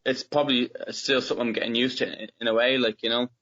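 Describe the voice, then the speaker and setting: A man's voice: medium pitch, flat delivery, quick pace, sharp tone, muffled recording. A young man with a Dublin Irish accent, answering interview questions casually and hesitantly, with many fillers and restarts. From Ireland. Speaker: footballer guest.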